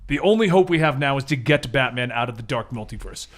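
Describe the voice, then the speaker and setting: A stern, mystical male sorcerer superhero, voiced by a man running a tabletop game. He speaks in grave, measured pronouncements with dramatic pitch movement. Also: animated, a bit bright, natural voice. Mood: solemn, stern.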